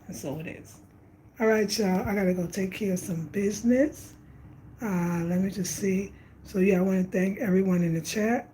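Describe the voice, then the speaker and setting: A woman in her late fifties with a mellow, warm voice shares casual spiritual reflections on travel. She talks animatedly and repeats phrases for emphasis. Faint noise hums behind her. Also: mid-range voice, moderate pace, poor audio. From Brooklyn, New York. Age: 50s. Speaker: travel vlogger.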